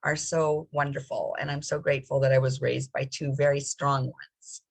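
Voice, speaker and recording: A woman's voice: extremely low pitch, level tone, moderate pace, vocal fry, no room sound. A woman guest speaking earnestly in a casual, reflective chat. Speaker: guest author.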